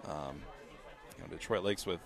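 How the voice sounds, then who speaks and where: A man with a somewhat low voice calls a local high school football game play by play. His voice is scratchy tonight, and he keeps a steady, measured pace with casual fillers between plays. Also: vocal fry, some chatter behind. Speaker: play-by-play announcer.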